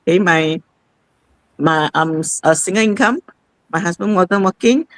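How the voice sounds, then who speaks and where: Woman host with a lilt, lowish voice, chatty live-stream delivery. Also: moderate pace, no room sound. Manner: chatty, repeats itself, says "okay" a lot. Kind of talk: casual live-stream sharing.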